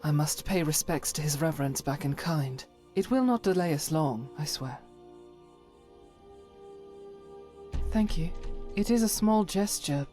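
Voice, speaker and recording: A woman's voice: low-pitched, dark tone, close to an everyday voice. A woman voicing a devout, stern holy warrior who carries private doubt, speaking solemnly and formally, as in a fantasy game. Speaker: voiced follower character in a fantasy game mod. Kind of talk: scripted dramatic game dialogue.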